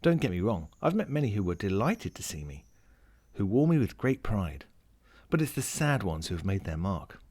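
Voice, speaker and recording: A man's voice: on the low side, sing-song, moderate pace, darkish tone. A man voicing a lonely, wry lost ring as he reads a first-person short story in a dramatic, melancholic way. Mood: resigned, reflective. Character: lonely, world-weary, wry sentient ring lost at sea.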